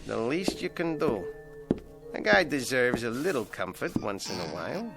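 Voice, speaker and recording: An actor playing a surly ventriloquist's dummy in a radio drama, sardonic and domineering, with slangy, bossy talk. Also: male voice, mid-range voice, very animated, normal speed, creaky, over music. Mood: grumbling.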